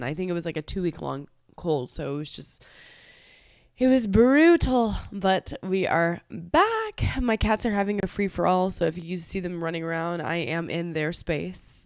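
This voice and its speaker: A woman's voice: lowish voice, clear, resonant tone, no echo, studio quality. A woman hosting a podcast, speaking casually and hesitantly with many fillers and restarts. She sounds nasal from a cold and talks from her room at home. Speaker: podcast host. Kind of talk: casual confessional podcast talk.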